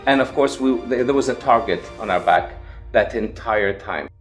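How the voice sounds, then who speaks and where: A man's voice: mid-range voice, normal speed, faint music behind. Emphatic Lebanese-born man, English accent. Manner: animated, emphatic storytelling. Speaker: guest, evangelist. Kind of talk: interview storytelling.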